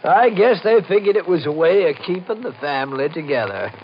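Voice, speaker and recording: A man's voice: a little high, lively intonation, brisk, close to an everyday voice. Guy as officious realtor in vintage radio play, dull sound, faint hiss. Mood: businesslike. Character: officious male real estate agent, dryly amused. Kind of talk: 1950s radio acting. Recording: dull, noisy old radio broadcast.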